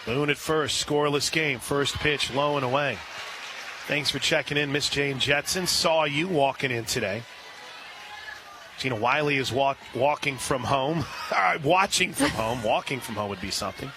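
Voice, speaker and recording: An American man doing live radio play-by-play of softball, chatty and folksy between pitches. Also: moderate pace, crowd noise. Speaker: play-by-play announcer. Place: outdoor softball stadium. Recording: noisy outdoor ballpark broadcast. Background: loud ambient ballpark noise.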